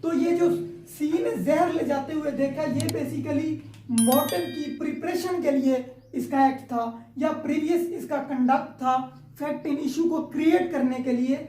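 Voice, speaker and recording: A woman's voice: high-pitched, fairly even intonation, a little slow, warm tone. A woman with a Pakistani accent giving an informal explanatory law lecture, teacherly, repeating her points and checking in often. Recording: echoey, roomy sound.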